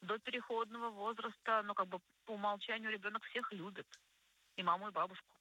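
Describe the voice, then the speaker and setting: A woman speaking as a guest psychologist on a radio show, explaining conversationally and deliberately, stressing key words. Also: medium pitch, fairly even intonation, bright, forceful tone, no room sound, low-quality recording. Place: radio studio.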